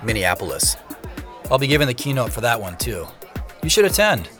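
An actor playing a busy, self-deprecating young tech CEO in a modern audio drama, talking in a casual, wry way. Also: male voice, mid-range voice, big pitch swings, brisk, a bit bright, soft background music. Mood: upbeat, casual.

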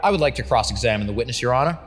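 A man's voice: medium pitch, animated, rapid-fire, soft background music, lightly acted. An actor playing a methodical male defense lawyer in a courtroom drama, asking formal, insistent questions. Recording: reverberant room, faint noise.

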